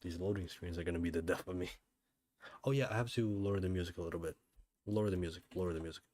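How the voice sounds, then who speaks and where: A man with a low voice streams a video game. He chats casually, half to himself, in an offhand way. He often repeats his own phrases as he goes. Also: rapid-fire, a little mellow, no echo, studio quality. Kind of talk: casual gameplay commentary.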